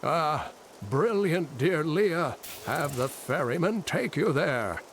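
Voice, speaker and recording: An actor playing a fond, delighted scholarly mentor in a fantasy video game. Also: male voice, on the high side, animated, slow, very noisy.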